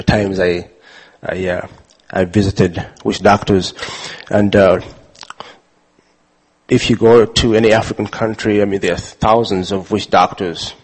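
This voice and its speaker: A man from Uganda telling a personal story with many pauses, fillers and restarts. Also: on the low side, breathy edge, poor audio. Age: adult father. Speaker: speaker sharing his testimony. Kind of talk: personal testimony talk.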